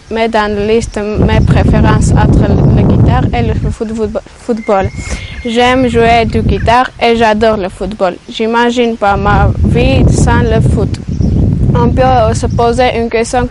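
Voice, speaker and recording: Fifteen-year-old girl over clear noise. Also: fairly high pitch. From Armenia. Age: teens. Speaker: school pupil.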